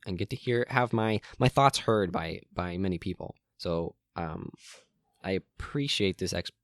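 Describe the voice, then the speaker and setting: A young man hosting a solo podcast from his room, rambling with frequent ums and restarts, his voice a little hoarse and cracking. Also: deep voice, no echo, studio quality. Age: young adult. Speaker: podcast host.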